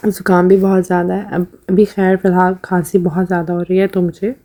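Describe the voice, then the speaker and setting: A woman in her thirties with a Pakistani Urdu accent, narrating her daily routine in a casual voice-over while hoarse from a cold, with hesitations and throat clearing. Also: fairly low pitch, flat delivery, a little fast, mellow. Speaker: vlogger.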